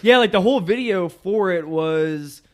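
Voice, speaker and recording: A man's voice: high-pitched, expressive, normal speed. A man co-hosting a film podcast, chatting casually and informally outdoors. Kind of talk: casual chat, film discussion.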